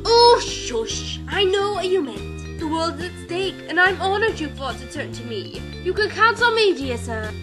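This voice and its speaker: A woman's voice: extremely high pitch, sing-song, unhurried, few pauses, over music, exaggerated voice. A woman voicing a prim, scholarly female pika archaeologist who speaks in a genteel, chatty and easily flustered way. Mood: polite, fussy. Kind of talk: fan voice acting reel.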